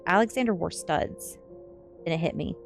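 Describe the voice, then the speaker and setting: A woman with a low voice narrates a story as a read-aloud. She speaks close to the microphone, and faint music plays behind her. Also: moderate pace, gravelly. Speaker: streamer reading a novel aloud. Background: faint background music.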